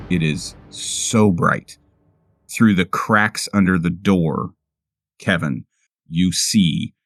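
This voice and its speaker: A man improvising first-person narration as a player in a tabletop game, halting and deliberate with dramatic pauses. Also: deep voice, a bit bright.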